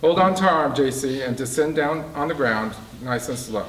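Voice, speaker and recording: A man voicing a commanding captain from a novel, calling out urgent orders in a loud, raised voice. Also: medium pitch, animated, normal speed, lightly acted. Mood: firm, directing. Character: commanding male captain shouting orders to his crew. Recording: roomy, distant mic, faint background noise.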